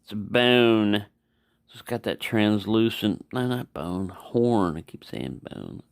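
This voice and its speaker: A man's voice: fairly low pitch, takes it slowly, gravelly, no echo, studio quality. A man giving a casual, unscripted product review, rambling and correcting himself as he goes. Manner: rambling, self-correcting, mumbling asides. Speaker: knife reviewer.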